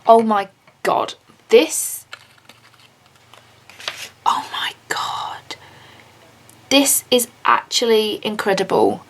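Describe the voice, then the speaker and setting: A woman unboxing handmade charms in a casual vlog, gushing and excitable, with a slightly raspy voice. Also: a little high, drawn-out words, pauses often, in a whisper. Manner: gushing, excitable, many "oh my God" and gasps.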